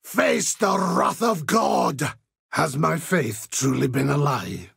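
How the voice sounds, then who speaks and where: An actor playing a zealous, grim older Templar holy warrior in a video game, with a gravelly, raspy voice and solemn, righteous delivery. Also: male voice, fairly high pitch, very animated, takes it slowly, a bit bright, no echo, clean studio sound, exaggerated voice. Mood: righteous wrath, then grave, brooding. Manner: solemn, pious, righteous oratory. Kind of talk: video game character voice lines.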